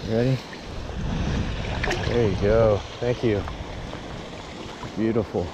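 Casual American male fishing vlogger, young adult, unhurried delivery in short exclamations, recorded outdoors with plenty of river noise. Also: fairly low pitch, fairly even intonation, lo-fi sound. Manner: talks to himself and the fish in short exclamations. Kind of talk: casual off-the-cuff fishing vlog chat. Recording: loud rushing river water noise outdoors.

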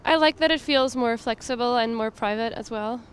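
A woman's voice: a little high, moderate pace, clear, resonant tone. A young woman answering a street interviewer outdoors, thoughtful and a little hesitant with fillers. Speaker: passerby interviewee. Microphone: handheld mic held by interviewer.

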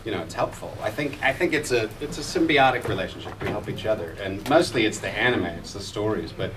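A man's voice: lowish voice, expressive, fast talker. A male voice actor on a convention panel, playful and grateful. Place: large convention hall. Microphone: table mic, far camera. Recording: distant camera, loud hall noise.

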